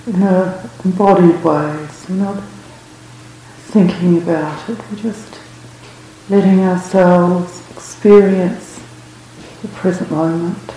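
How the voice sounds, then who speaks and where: A woman leading a guided meditation as a teacher, speaking gently and unhurriedly in short phrases with long pauses. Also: on the low side, fairly even intonation. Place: reverberant indoor room at a retreat. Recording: very echoey room, faint background noise.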